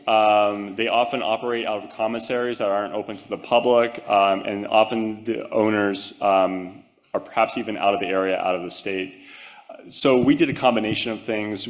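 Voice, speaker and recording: A man giving a conference talk and answering audience questions, casual with many "um"s and "like"s. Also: lowish voice. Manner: casual, many fillers and restarts. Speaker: conference presenter. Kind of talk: presentation and Q&A answers.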